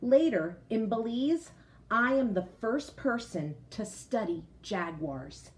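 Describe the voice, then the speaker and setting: A woman reading a picture book aloud to children like a teacher, expressive, pausing to explain and put questions directly to young listeners. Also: on the high side. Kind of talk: storybook read-aloud with explanatory asides.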